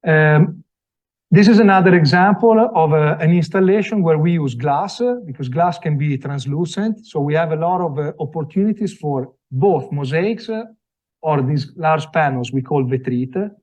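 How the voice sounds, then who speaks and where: An Italian-accented man speaks at a live showroom presentation. His voice sits a little high, and he often pauses on filler sounds and restarts his phrases as he goes. The recording is dull and lo-fi. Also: lively intonation, darkish tone. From Italy. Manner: many fillers and restarts, halting delivery. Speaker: company sales representative. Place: design showroom event.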